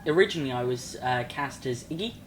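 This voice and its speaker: Male guest, average pitch, informal interview answers, light background noise. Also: expressive, fast talker. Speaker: actor and narrator. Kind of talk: casual interview talk.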